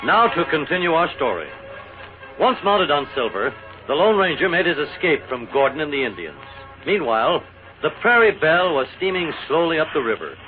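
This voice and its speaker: A man's voice: on the high side, sing-song, brisk. A man narrating as a 1940s radio announcer, formal and theatrical in scripted delivery. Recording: tinny 1940s radio recording over music.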